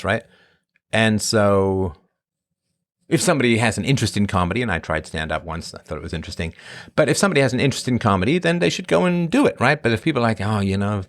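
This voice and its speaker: A middle-aged man from Ireland and England, rambling casually as a host answering his live chat. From born in Ireland, grew up in England. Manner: rambling, conversational, emphatic swings in pitch. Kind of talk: casual monologue.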